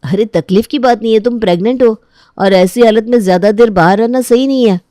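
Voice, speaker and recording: A woman's voice: on the high side, a little fast, warm tone, no echo, studio quality, close to an everyday voice. A woman playing an apologetic, worried husband in a dramatized family story. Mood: worried, pleading.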